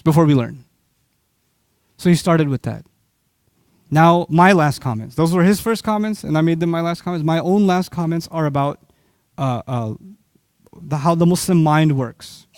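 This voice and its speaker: Animated high-voiced man lecturing. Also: no echo, studio quality. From Pakistan. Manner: animated, rhetorical questions, quick asides. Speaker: Islamic speaker. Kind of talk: lecture to a live audience.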